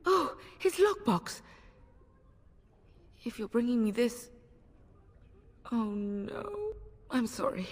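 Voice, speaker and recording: An actress playing a gentle, sorrowful village wife in a fantasy video game, speaking in a measured, earnest way. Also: female voice, fairly high pitch, sing-song, breathy edge, heavily acted. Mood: grieving, grateful. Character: sorrowful gentle village wife awaiting missing husband and son. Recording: long hall reverb.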